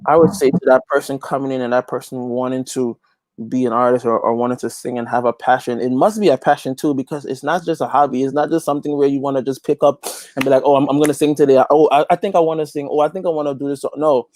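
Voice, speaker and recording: A man's voice: mid-range voice, steady tone, fast, darkish tone, no echo. A man talking casually as an interview guest, preaching a little and repeating phrases for emphasis. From Guyana. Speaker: interview guest, gospel reggae artist and youth pastor.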